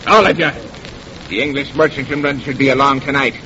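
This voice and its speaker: An actor playing a sly, mocking, commanding pirate captain in a theatrical old radio drama style, silky and sardonic. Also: male voice, mid-range voice, fairly even intonation, brisk, close to an everyday voice. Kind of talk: old-time radio horror drama acting. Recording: muffled, noisy old radio recording.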